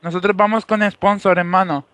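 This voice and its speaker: A man playing an insistent, boastful foreign gamer on a prank call, halting and repetitive, with a put-on Moroccan accent. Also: high voice, fairly even intonation, a bit bright, dry recording. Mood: pushy, boastful.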